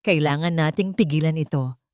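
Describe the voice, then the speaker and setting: A woman's voice: sits low, a little fast, a little mellow, dry recording, clean studio sound, natural voice. Woman voicing an earnest, troubled daughter. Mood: troubled pleading. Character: conscience-stricken daughter confronting her mother.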